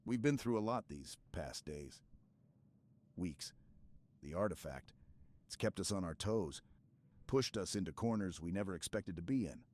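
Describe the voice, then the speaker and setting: A man playing a stoic, earnest super-soldier in an intimate sci-fi ASMR roleplay, speaking in a halting, hushed way with dramatic pauses. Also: deep voice, expressive. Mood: weary, sincere.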